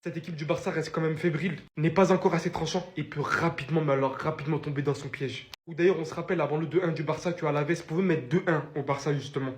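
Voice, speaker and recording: A man with a fairly high voice talks very fast about football, excited and amazed. The sound is echoey, as if he is recording in a bare room. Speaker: football YouTuber. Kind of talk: enthusiastic video commentary.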